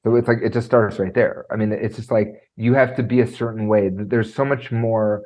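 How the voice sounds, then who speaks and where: A man coaching on presentation skills, talking in an animated way and filling his speech with "like" and "right?"; he has a trained high singing voice. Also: brisk. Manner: animated, lots of fillers like "like" and "right?". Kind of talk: conversational podcast interview.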